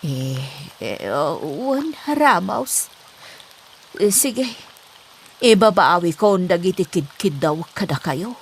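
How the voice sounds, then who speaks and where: A woman's voice: on the low side, sing-song, takes it slowly, slight background noise, exaggerated voice. A woman playing an ailing, modest older village mother in a comedy drama, with a hesitant manner. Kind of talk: amateur Ilocano comedy drama acting.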